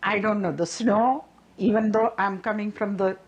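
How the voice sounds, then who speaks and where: A woman's voice: medium pitch, fairly even intonation, moderate pace, lo-fi sound. An older woman from south India with an Indian accent, speaking plainly and directly in documentary testimony.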